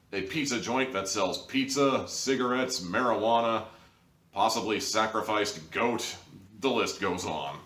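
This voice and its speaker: A man with a low voice hosts a casual review, speaking in a wry, conversational and emphatic manner. He sounds a little away from the microphone, in a room with noticeable echo. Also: fairly bright tone. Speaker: audio drama reviewer, host. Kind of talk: casual, opinionated review commentary. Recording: roomy, distant microphone.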